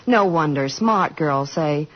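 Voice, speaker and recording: Casting: American woman for vintage radio commercials, lowish husky voice, scripted banter. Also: animated, takes it slowly. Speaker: commercial presenter. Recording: dull 1940s radio recording.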